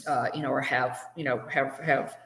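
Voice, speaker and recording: A woman with a low, deep voice hosts a casual live stream. She talks chattily, greets viewers by name and pads her sentences with fillers. The sound rings a little in a roomy space. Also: fairly even intonation, moderate pace, fairly bright tone. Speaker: co-host of a live fan show.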